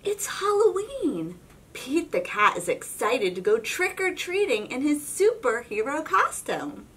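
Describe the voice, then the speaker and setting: A woman reading a children's storybook aloud in an expressive, suspenseful narrating style. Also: high voice, a little slow, recorded from a distance. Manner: expressive, suspenseful, with whispers and exclamations. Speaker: storybook reader.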